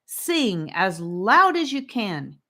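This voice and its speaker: Kind wise girl voice, soft and earnest, woman reading storybook. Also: mid-range voice, very animated, takes it slowly, pitched above the performer's own voice, exaggerated voice. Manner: warm, reassuring, earnest. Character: gentle wise young girl with a special glow.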